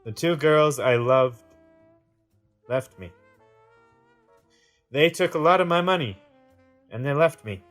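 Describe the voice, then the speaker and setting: A man acting a troubled, defensive, self-pitying brother in an audio drama, speaking in halting, broken phrases. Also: a little high, a bit bright, soft background music. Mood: dejected.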